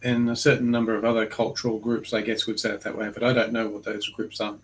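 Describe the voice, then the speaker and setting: A man's voice: lowish voice, monotone, fast, a little hiss or hum, low-quality recording. An Australian man co-hosting a craft livestream, chatting casually and joking, wandering off into playful asides. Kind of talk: casual chat.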